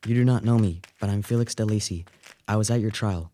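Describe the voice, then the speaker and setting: A man's voice: fairly low pitch, brisk, mellow, close to an everyday voice. A man playing an earnest, courteous and gallant young man in a radio play.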